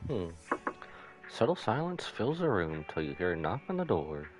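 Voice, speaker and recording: A man's voice: low voice, sing-song, moderate pace. A man livestreaming a game, commenting casually and reading dialogue aloud in a playful, slangy way. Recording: stream mic over game music. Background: game music.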